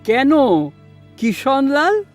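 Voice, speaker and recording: Slow, doting old grandfather. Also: extremely high pitch, big pitch swings, soft background music, full character voice. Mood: tender, teasing. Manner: slow, tender, drawn-out speech. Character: doting old village grandfather, a humble doll maker. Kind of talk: Bengali audio story drama.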